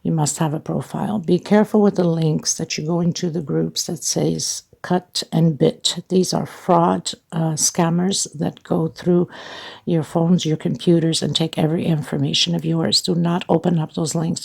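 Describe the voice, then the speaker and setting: A woman's voice: low voice, gravelly, darkish tone, breathy edge. A woman hosting a craft tutorial, talking to viewers in a casual, chatty and informal way. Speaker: host of crochet tutorials. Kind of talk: casual spoken tutorial and channel announcements.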